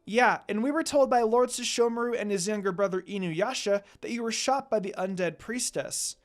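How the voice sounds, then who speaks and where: A woman's voice: mid-range voice, moderate pace, pitched above the performer's own voice, natural voice. A woman reading the part of a curious, timid little orphan girl in a story, polite and childlike. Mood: concerned.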